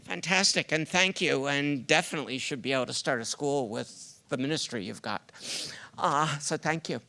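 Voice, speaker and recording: A man informally moderating a conference session, speaking in a halting way and repeating words. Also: on the high side, vocal fry, pushed and bright. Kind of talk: informal meeting facilitation. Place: conference meeting room.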